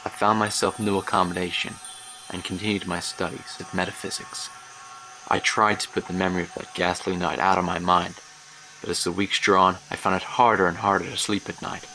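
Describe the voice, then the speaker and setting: A man's voice: sits low, level tone, pushed and bright. An actor playing a haunted older man, recalling his youth in a grave, literary horror monologue for an audio drama. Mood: troubled. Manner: grave, literary, measured storytelling. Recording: noisy track with ambience behind.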